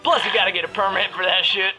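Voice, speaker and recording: A woman's voice: mid-range voice, steady tone, quick pace, bright, forceful tone, soft background music, lightly acted, low-quality recording. A woman playing an eccentric, boastful, lewd professor in a goofy, theatrical radio drama parody. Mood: boastful, loud. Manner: theatrical, goofy, reads stage directions aloud. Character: eccentric, boastful, lewd astronomy professor, nerdy oddball man. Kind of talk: parody of 1930s radio drama, partly improvised.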